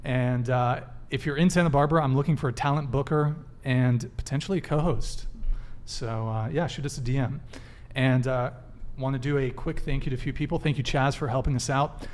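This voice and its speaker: A man hosting a live podcast, running through announcements and promos in a brisk patter with fillers. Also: medium pitch, steady tone, darkish tone. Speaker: podcast host.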